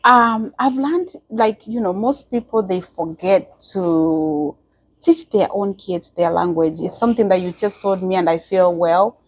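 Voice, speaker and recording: A woman hosts a talk show interview and keeps her guest going with constant short affirmations. Her voice is slightly husky and recorded dry and close. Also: mid-range voice, a little slow, no echo. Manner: encouraging, with frequent backchannel affirmations.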